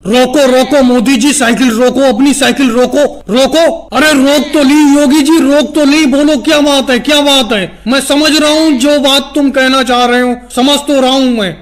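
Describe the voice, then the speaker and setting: A man voicing chatty, bickering male politician caricatures in an animated comedy skit, with a creaky voice. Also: very high voice, moderate pace, few pauses. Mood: agitated, complaining.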